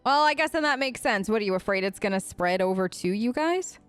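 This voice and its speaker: A woman's voice: medium pitch, animated, fairly quick, fairly bright tone, clean tone, dry recording, soft background music. A woman hosting a role-play let's play, chatting casually in character in an earnest, playful way. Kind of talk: casual in-character game commentary.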